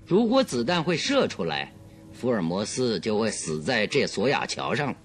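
A man's voice: on the low side, wildly swooping pitch, moderate pace, faint music behind. An actor playing a confident male detective in a radio drama, explaining deductions in a theatrical, self-assured way. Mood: deliberate.

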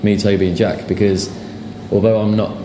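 A man's voice: lowish voice, moderate pace. Chatty young Englishman in a noisy bar. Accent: southern English. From England. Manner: relaxed, chatty, repeats words as he talks. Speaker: guest sign writer. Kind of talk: casual interview chat. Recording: echoey bar with loud noise.

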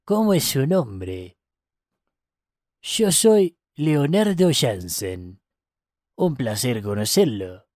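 A man's voice: medium pitch, sing-song, drawn-out words, long pauses, a little mellow, dry recording, studio quality, pitched above the performer's own voice. A man voicing an elderly, polite Argentine museum tour guide in a storybook reading. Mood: polite, routine.

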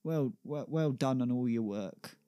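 A man's voice: mid-range voice, a little slow, mellow, smooth, dry recording, lightly acted. A man acts out a big shipyard worker in a comic storybook tale. He is blunt yet effusive, a star-struck fan, speaking unhurriedly in a dry, close recording. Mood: blunt, admiring.

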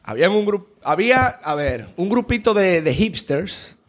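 A man's voice: sits high, sing-song, moderate pace. Dominican man telling stories casually. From Dominican Republic. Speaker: interview guest telling travel stories. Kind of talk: casual conversational storytelling.

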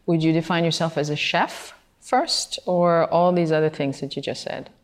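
A woman hosting a podcast, reading a scripted intro and then asking interview questions in a measured, deliberate way. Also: low voice, steady tone. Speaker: podcast host.

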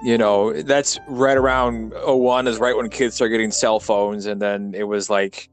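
A man's voice: on the low side, little pitch movement, unhurried. A man in his mid thirties with an American accent hosts an informal interview. He often stammers into his sentences and talks casually. Faint music plays behind him. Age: mid 30s. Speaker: podcast host, interviewer.

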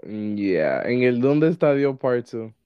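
Animated Dominican man, casual podcast host. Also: mid-range voice, slow pace, smooth, no echo, dull audio. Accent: Dominican Spanish. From Dominican Republic. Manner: teasing, jokey, mixes in English. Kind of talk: casual bilingual chat.

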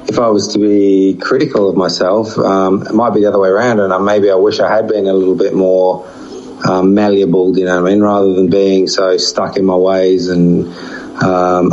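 A man in his forties whose accent blends Australian and English, talking frankly and reflectively about his playing days. His voice sits low, and the recording sounds dull and lo-fi. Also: little pitch movement, normal speed, a little mellow, a little hiss or hum. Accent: mix of Australian and English. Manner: frank, reflective, with a wry laugh. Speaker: former cricketer, guest. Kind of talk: candid interview answers. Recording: dull lo-fi audio.

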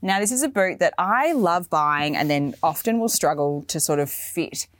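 A woman's voice: on the low side, animated, moderate pace, no room sound, studio quality. A young Australian woman hosting a fashion podcast, chatting casually and enthusiastically about her things, with plenty of fillers. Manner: chatty, enthusiastic, lots of likes and ums. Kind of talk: casual product walkthrough.

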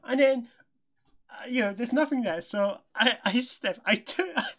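A man's voice: very high voice, expressive, moderate pace, a bit bright. A young British man chatting casually and telling anecdotes as a podcast co-host, often stammering and repeating his words.

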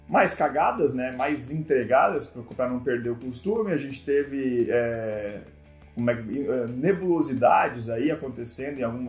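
A man's voice: medium pitch, darkish tone. A man from Brazil co-hosting a casual football podcast, talking with enthusiasm and many fillers. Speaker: podcast co-host. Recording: echoey room.

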